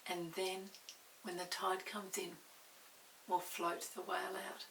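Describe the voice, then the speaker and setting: An older woman reading a story aloud to young children, expressive, pausing to explain and ask the listener questions. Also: lowish voice. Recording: roomy, distant mic with faint hiss.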